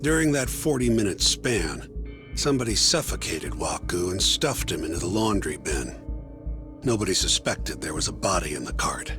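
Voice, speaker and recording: A man's voice: low voice, sing-song, moderate pace, long reverb tail, music in the background. An actor playing a blunt, skeptical male partner who dismisses the case. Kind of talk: video game voice acting.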